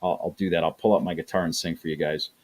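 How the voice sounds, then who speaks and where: A man's voice: low voice, steady tone, fast talker, a little mellow. A middle-aged man chatting casually on a livestream, often repeating words as he talks. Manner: chatty, repeats words, acts out quoted voices. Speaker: livestream host reading chat.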